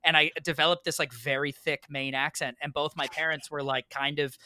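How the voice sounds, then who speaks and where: A man's voice: a little high, flat delivery, brisk, sharp tone, clear, resonant tone, no echo, clean studio sound. An American man from New England chatting casually and playfully as a podcast guest. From New England, United States. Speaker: podcast guest, trained musical theater actor. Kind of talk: casual chat.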